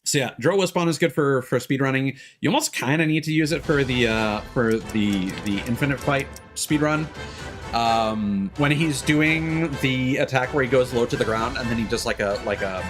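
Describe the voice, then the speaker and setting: A man giving casual let's-play commentary as a streamer, talking in a rambling, halting way. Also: mid-range voice. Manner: rambling, hesitant, halting delivery; casual swearing. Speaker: game streamer, host. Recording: game music behind the voice. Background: video game music.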